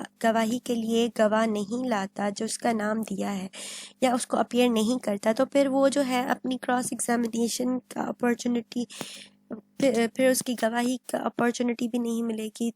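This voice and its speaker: A woman's voice: on the high side, steady tone, measured pace, darkish tone. A woman lecturing on legal material, explaining point by point and mixing in English terms. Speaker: law lecturer.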